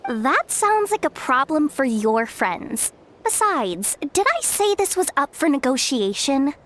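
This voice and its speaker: A woman's voice: high voice, sing-song, measured pace, a bit bright, heavily acted. A woman voicing a haughty, testy mushroom riddle-giver in a game, with formal, condescending delivery. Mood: haughty, impatient.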